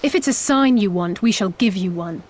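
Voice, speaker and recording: An actress playing a composed, daring rebel envoy in a video game, speaking in a measured, formal, persuasive way. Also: female voice, mid-range voice, expressive, darkish tone, slight background noise, close to an everyday voice. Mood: resolute. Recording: background music.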